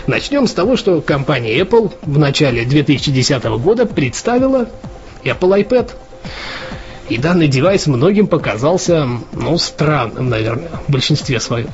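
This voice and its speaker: A man with a fairly high voice co-hosts a casual podcast, chatting loosely and circling back to rephrase himself. The recording sounds dull and lo-fi, and faint music plays underneath. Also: sing-song, moderate pace. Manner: chatty, many fillers and restarts. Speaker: podcast co-host. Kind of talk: casual news podcast chat.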